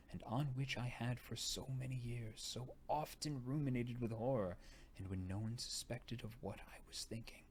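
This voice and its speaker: A man with a fairly low voice reads a gothic tale aloud. His delivery is unhurried and theatrical, dipping toward a breathy hush. Some noise sits behind him. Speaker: audiobook reader. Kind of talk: expressive narration of a gothic novel. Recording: noticeable background noise.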